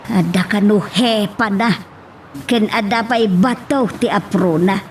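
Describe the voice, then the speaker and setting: A woman's voice: medium pitch, measured pace, slight background noise, natural voice. A woman playing a fond elderly village mother-in-law with an Ilocano Filipino accent, hesitant, in an amateur audio drama. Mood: concerned. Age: older.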